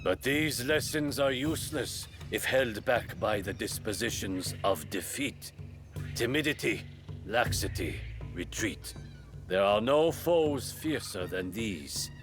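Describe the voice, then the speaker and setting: An actor playing a proud, remorseful samurai duelist in a video game, speaking formally and with measured weight. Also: male voice, on the low side, expressive, music in the background. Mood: stern, testing. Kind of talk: dramatic video game acting.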